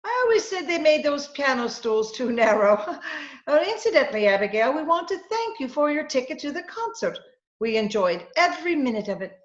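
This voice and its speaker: An actress playing a sharp-tongued, long-suffering wife in an old-time radio comedy, wry and teasing, on a muffled, roomy recording. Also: female voice, high voice, sing-song, a little fast. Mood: teasing, polite.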